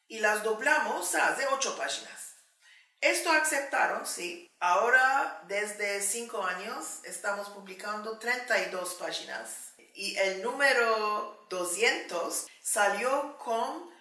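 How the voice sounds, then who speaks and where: Slow, bright-voiced woman, roomy room. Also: fairly high pitch. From Turkey. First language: Turkish. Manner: slow, thoughtful, with frequent pauses and fillers. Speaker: Ladino language advocate interviewee. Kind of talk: documentary interview. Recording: roomy sound, mic at a distance.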